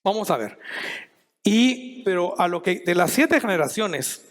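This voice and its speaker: A middle-aged man from Guatemala speaking at a conference in a high, light voice. He talks in a measured way, thinking aloud with restarts and pauses. The sound carries a long hall reverb. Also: very animated. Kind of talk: conference talk.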